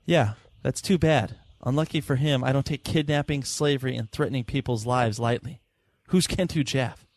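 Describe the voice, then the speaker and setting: A man's voice: medium pitch, normal speed, no echo, lightly acted. A man playing a righteous, headstrong police detective in an audio drama, curt and principled. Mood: stern.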